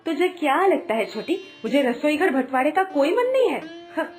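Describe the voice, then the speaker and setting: A woman's voice: high voice, very animated, moderate pace, faint music behind. A woman playing a bossy, mocking elder sister-in-law who scolds and taunts theatrically in a cartoon story. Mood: defensive. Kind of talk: Hindi animated moral story acting.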